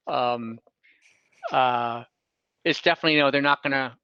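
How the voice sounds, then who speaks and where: Bright-voiced man running a tabletop game. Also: mid-range voice, smooth, no room sound, muffled recording. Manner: hesitant, thinking aloud, self-correcting. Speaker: game master. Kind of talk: improvised tabletop game narration, casual.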